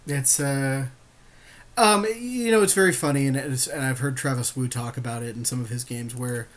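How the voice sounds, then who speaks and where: A man's voice: medium pitch, normal speed. A man co-hosting a gaming podcast, chatting casually about a card game in an agreeable, slangy way. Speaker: podcast co-host playing an online card game. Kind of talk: casual gameplay chat.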